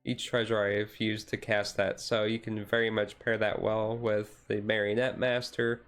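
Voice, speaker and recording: A man giving casual commentary on a card deck as a streamer, thinking aloud in a halting way. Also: lowish voice, level tone, a bit bright, clean tone.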